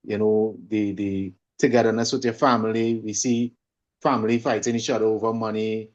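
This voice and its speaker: A man with a Trinidadian accent speaks conversationally and reflectively as an interview guest, often pausing and repeating words. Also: on the low side, level tone, muffled recording. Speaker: guest, singer and holistic coach.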